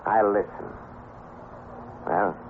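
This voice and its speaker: An actor playing a short-tempered, menacing American gangster boss in a 1950s radio crime drama, sneering and sarcastic. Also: male voice, lowish voice, fairly even intonation, extremely slow. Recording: muffled, faintly noisy 1950s radio recording.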